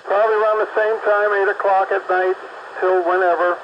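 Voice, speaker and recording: A man's voice: high voice, flat delivery, fairly quick. A man chatting over CB radio in a laid-back, plainspoken way. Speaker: CB radio operator. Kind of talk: casual CB radio chat. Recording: muffled CB radio with static.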